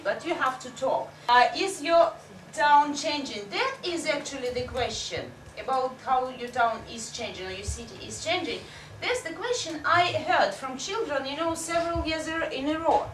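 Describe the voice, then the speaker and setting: A woman teacher explains exam tasks to her class in a blunt, conversational way. Her voice sits fairly high. It echoes around a room, picked up from some distance with a faint hiss. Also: a bit bright. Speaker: English teacher. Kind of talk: classroom instruction.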